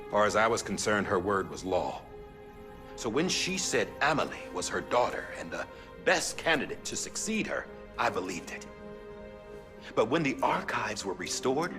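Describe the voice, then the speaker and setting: A haunted, middle-aged American war veteran confesses gravely in a video game drama. He speaks slowly and low, halting as he goes, with loud music underneath. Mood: grave, confessional.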